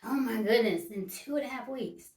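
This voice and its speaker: A woman's voice: on the high side, moderate pace, darkish tone. A woman in her early fifties talking casually and directly to her viewers, emphatic and repeating her points. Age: 50s. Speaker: vlogger. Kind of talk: casual talk to camera. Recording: echoey room, mic at a distance.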